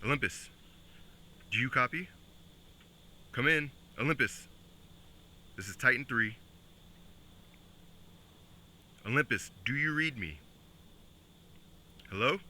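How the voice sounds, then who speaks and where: A man's voice: mid-range voice, expressive, a little slow, long pauses, pushed and bright, exaggerated voice. A man acting in an audio drama as a terse military field operative, speaking in clipped phrases. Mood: urgent. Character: terse male field operative on a radio mission. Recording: music under the voice.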